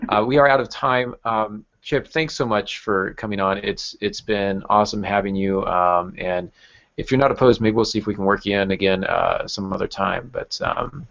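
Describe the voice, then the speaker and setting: Lowish-voiced male podcast host, slightly muffled. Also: moderate pace, vocal fry, fairly bright tone. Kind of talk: casual chat, hosting. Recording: dull lo-fi audio.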